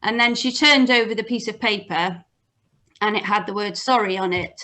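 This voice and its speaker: A young adult woman, telling personal stories in a gentle, hesitant way on a video call. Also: medium pitch, moderate pace, rough recording. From Cardiff, Wales. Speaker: interview guest. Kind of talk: casual personal storytelling. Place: small home room with bookshelves, on a video call. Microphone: computer mic on video call.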